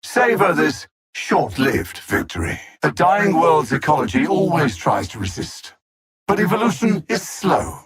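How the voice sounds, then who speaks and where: Casting: a hyper-intelligent alien supervillain in a game; husky, animated intonation, articulate contempt and theatrical menace. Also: male voice, fairly high pitch, unhurried, heavily acted. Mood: smug menace. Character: cold, arrogant male alien supervillain conqueror, hyper-intelligent and condescending. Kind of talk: video game villain voice lines.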